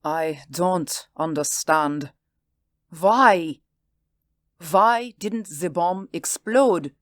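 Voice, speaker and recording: A man's voice: sits high, very slow, fairly bright tone, no room sound, studio quality, voice pushed up, heavily acted. A man doing a broken, vengeful official. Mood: shaken. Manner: trembling, bitter and strained. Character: shaken, furious male official, desperate and vengeful.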